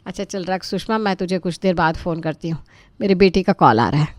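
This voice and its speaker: A woman's voice: on the low side, fairly quick, a little mellow, dry recording, lightly acted. A woman voicing a weary elderly mother-in-law in a cartoon story, grumbling with dramatic self-pity. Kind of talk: animated moral story dialogue.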